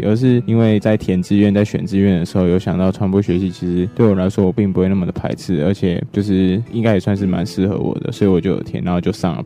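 A man's voice: deep voice, mellow. A young man with a Taiwanese accent answering casually as a student guest in an interview. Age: young adult.

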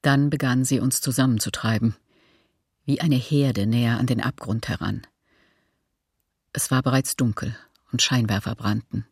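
An actor voicing a shaken survivor recalling childhood in a documentary radio drama, husky, creaky, grave and restrained. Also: male voice, mid-range voice, steady tone, normal speed, pauses often, no echo, clean studio sound. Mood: grave, haunted.

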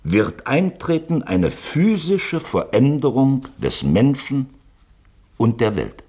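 An actor playing a shrewd, probing man in a German radio play, speaking with deliberate, insistent drama. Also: mid-range voice, sing-song, dark tone, breathy edge, full character voice. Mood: intense, insistent. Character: shrewd, probing man quoting a suspect manuscript, insinuating.

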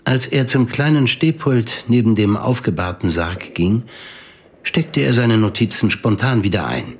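A man's voice: on the low side, sing-song, a little slow, slight background noise. A man reading scripted literary narration for an audio drama in a sober, composed way.

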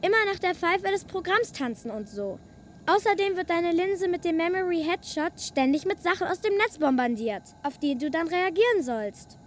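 A woman's voice: very high voice, lively intonation, normal speed, a little hiss or hum. A woman playing a young girl on a farm, eager and defiant toward her parents.

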